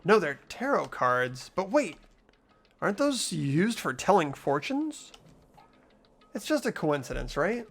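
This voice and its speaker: A person reading visual novel narration and dialogue expressively, voicing an earnest, anxious high school student. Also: very animated, a little slow, creaky, faint music behind. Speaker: player reading a video game's lead role aloud.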